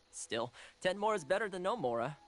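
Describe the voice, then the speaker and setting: A woman's voice: on the low side, big pitch swings, moderate pace. A woman voicing a cheerful young woman in a video game, with playful, chirpy lines. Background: game music.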